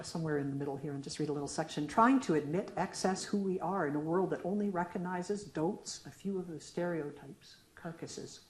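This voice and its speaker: A middle-aged woman with a low, mellow voice reads her poetry aloud at a public reading. She shifts between the questions and answers of a dialogue poem, and her casual remarks between pieces are full of small fillers. The sound is roomy, with an echo from the room. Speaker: poet reading her work. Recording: echoey room.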